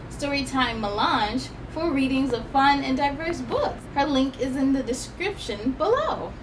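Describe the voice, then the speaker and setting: Female children's storytime host, very high voice, animated and emphatic delivery, some background noise. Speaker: children's read-aloud host. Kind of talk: expressive storybook reading and friendly address to young viewers.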